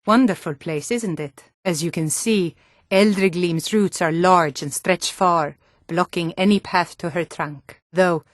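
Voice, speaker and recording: A woman voicing proud, hardy Nord women in a fantasy game, with a firm, deliberate delivery. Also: lowish voice, no room sound, studio quality, natural voice. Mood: serene, reverent. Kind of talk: scripted fantasy game dialogue.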